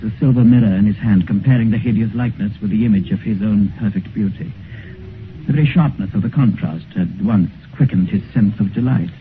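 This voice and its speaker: A man as the grave storyteller of a Gothic tale, narrating solemnly and deliberately in 1950s radio style. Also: lowish voice, close to an everyday voice. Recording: noisy, muffled 1950s radio recording.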